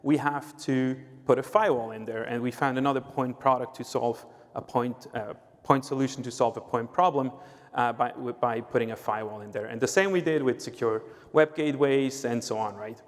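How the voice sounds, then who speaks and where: A man from Switzerland talks with a Swiss accent at a middle pitch. He explains step by step as a guest on a panel and often checks in with "right?". A long hall reverb trails his words. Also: steady tone, a little fast. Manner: explanatory, uses filler "uh" and "right?". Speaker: sales executive, guest speaker. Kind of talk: conference panel talk.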